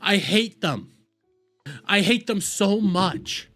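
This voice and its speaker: A man's voice: high voice, expressive, slow pace, fairly bright tone, faint music behind. A man hosting a reaction video, ranting angrily with lots of swearing and repetition. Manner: heated, profane, repetitive ranting. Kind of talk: angry commentary rant.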